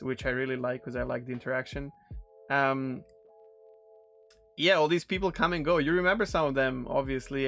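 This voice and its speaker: A man's voice: mid-range voice, a bit bright. A man in his thirties with a Serbian accent, a non-native English speaker from Serbia, casually rambling with friendly ums and restarts. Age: 30s. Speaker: streamer reacting to a video. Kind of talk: casual reaction commentary.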